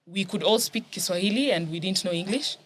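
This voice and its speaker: A woman's voice: mid-range voice, brisk. A young woman from Kenya telling her life story as a guest in a casual chat, laughing often.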